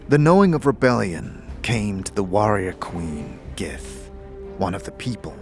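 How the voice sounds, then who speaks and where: A man narrating a scripted fantasy tale in a deliberate, theatrical storytelling manner. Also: fairly low pitch. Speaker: narrator and game master. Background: music.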